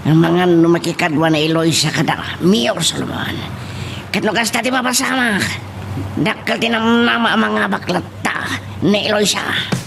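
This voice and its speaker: A man's voice: high-pitched, animated, moderate pace, gravelly, noisy background. A man with an Ilocano accent playing a chatty, deferential aide to a rich madam in an audio drama. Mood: gossipy.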